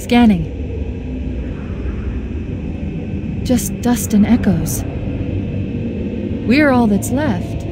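A woman voicing a sharp, wry military artificial intelligence in a video game, brisk and assured. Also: a little high, lively intonation, over music. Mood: somber.

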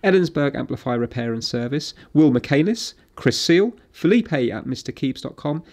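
A man's voice: mid-range voice, lively intonation, normal speed, darkish tone. A middle-aged man giving casual, informal commentary, thinking aloud as he works on a repair. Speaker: repair video host. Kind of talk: casual hands-on commentary while working.

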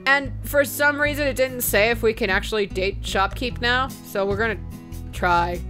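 A woman with a bright, fairly high voice recaps a video game as a let's play host. She tells it casually and theatrically, slipping into character lines and salty slang. Music plays underneath her voice. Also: normal speed. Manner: giggly, theatrical, mimicking characters in quoted dialogue; profane, slangy.

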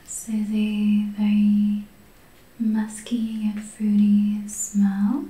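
A woman speaks in a hushed, breathy ASMR style, guiding gently and very slowly. Her tone is extremely mellow and soothing, with little rise or fall. The sound has a noticeable roomy echo. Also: medium pitch, monotone. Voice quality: breathy, whispery, soft-spoken. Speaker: ASMR Reiki practitioner and host. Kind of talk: soft-spoken ASMR guided healing session.

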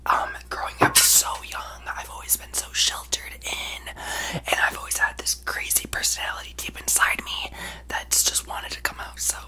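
A young man voicing a brash, self-absorbed young female pop star in a breathy, gushing ASMR whisper close to the microphone. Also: normal speed, natural voice, poor audio. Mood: hyper, chatty. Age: young adult. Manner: gushing, exaggerated, boastful, rambling. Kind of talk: ASMR roleplay, celebrity parody.

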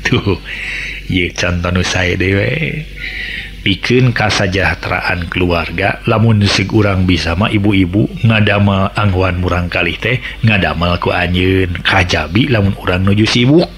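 A man's voice: low-pitched, unhurried, a bit bright, a little hiss or hum. A middle-aged man with a Sundanese accent narrating a radio folk tale, chatting to listeners in asides. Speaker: storyteller.

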